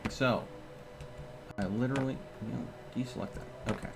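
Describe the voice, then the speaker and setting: A man's voice: mid-range voice, normal speed, heavy background noise. A man giving casual live gameplay commentary as a streamer, thinking aloud in short, sometimes repeated phrases. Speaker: video game streamer.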